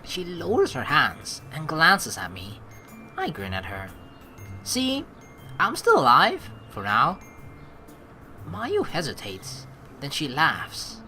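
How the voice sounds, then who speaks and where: A woman's voice: low-pitched, huge pitch swings, slow pace, pauses often, sharp tone. A woman hosting a casual let's play, playfully reading game narration and rambling through her own commentary. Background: game background music.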